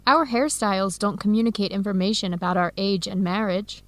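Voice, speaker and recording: An actress with an American accent playing an eager, friendly researcher abroad in an educational radio drama, speaking with enthusiasm. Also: female voice, medium pitch, brisk, dry recording, natural voice. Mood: curious, cheerful. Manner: enthusiastic, encouraging. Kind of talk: radio drama acting, clear educational dialogue.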